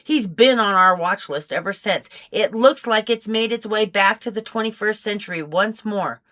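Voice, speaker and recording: Woman reading as a stern, grumpy older male agent, bright-toned. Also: mid-range voice, moderate pace, voice pushed up, natural voice. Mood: businesslike. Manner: stiff, formal speech.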